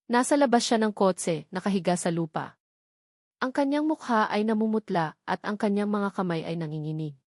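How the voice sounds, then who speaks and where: A woman with a Filipino accent reading a scripted first-person dramatic story in a solemn, measured delivery. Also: medium pitch, animated, darkish tone, no room sound, studio quality. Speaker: audio drama narrator.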